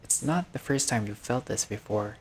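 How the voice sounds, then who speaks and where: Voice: medium pitch, animated, moderate pace, slight background noise. A gamer reading a visual novel aloud in a casual let's-play style, breaking off into joking, sweary asides.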